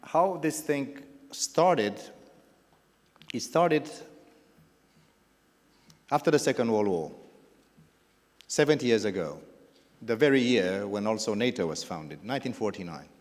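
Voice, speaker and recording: A middle-aged man with a Romanian accent gives a halting welcome speech as founding president, restarting his phrases and piling up lists of words. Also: medium pitch. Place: large indoor gala hall. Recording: reverberant banquet hall over a PA.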